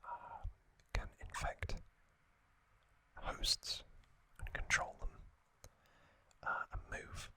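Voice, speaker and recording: A man hosts ASMR in a breathy, unvoiced whisper. The sound is very crisp and bright, with no chest tone to it. He explains things very slowly and haltingly, pausing often between short phrases. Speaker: ASMR host. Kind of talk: whispered ASMR trivia recap, unscripted.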